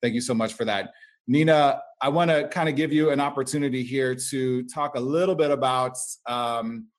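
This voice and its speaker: A man hosting a panel discussion in a relaxed, conversational tone. He is encouraging as he thanks one guest and invites the next to speak. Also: medium pitch, moderate pace. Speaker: discussion moderator.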